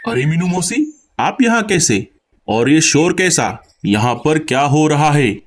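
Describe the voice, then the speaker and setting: A man's voice: fairly high pitch, sing-song, a little slow. A man voicing an aging, principled bird king in a cartoon story, stern and responsible in manner. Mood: puzzled. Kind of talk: Hindi cartoon story acting.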